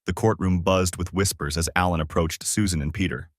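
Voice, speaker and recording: A man's voice: low-pitched, steady tone, a little fast, no room sound, clean studio sound. A man narrating a scripted story in a dramatic, solemn, suspenseful way.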